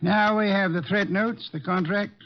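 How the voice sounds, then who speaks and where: An imperious, methodical detective speaks in a fairly high voice with formal precision. It has the dull, lo-fi sound of an old radio mystery. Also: male voice, fairly even intonation, moderate pace. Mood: brisk, assured. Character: imperious, methodical genius male detective questioning suspects. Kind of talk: old-time radio detective drama acting.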